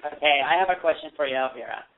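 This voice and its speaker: A man's voice: a little high, fast. A man co-hosting a radio show, asking questions hesitantly and rephrasing them with many ums. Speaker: radio co-host. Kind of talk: call-in radio interview. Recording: phone-like lo-fi sound.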